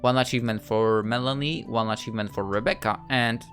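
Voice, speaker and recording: A man's voice: mid-range voice, fairly quick, a bit bright, faint music behind. A man giving casual, playful, rambling playthrough commentary on a game. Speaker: gaming video creator playing his visual novel. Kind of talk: casual playthrough commentary, reading dialogue aloud.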